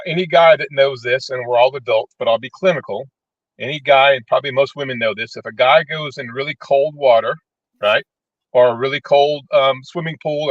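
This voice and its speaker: A man's voice: medium pitch, no echo. A man speaks as a guest on an online panel, explaining things in a plain, casual way and often checking that listeners follow. The sound is slightly muffled and lo-fi, with very little room around it. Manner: plain-spoken, checks in with "right?". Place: parked vehicle in a parking lot. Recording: dry, dull lo-fi call audio.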